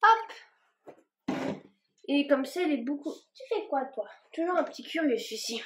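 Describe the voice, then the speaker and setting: A woman's voice: high-pitched, very animated, measured pace. A woman vlogging casually as she repots her plants, talking in a rambling way with plenty of fillers and restarts. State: a bit warm and tired from the work.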